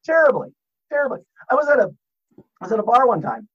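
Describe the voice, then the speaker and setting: A man's voice: extremely high pitch, very animated, fast talker, husky, highs cut off. A man on a podcast as a guest, telling rambling, profane stories and laughing at his own jokes. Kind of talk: casual podcast chat, storytelling.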